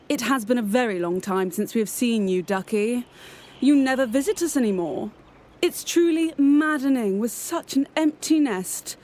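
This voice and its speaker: Actress as mother duck, high voice, genteel, formal, reproachful, middle-aged, audio drama. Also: a little mellow.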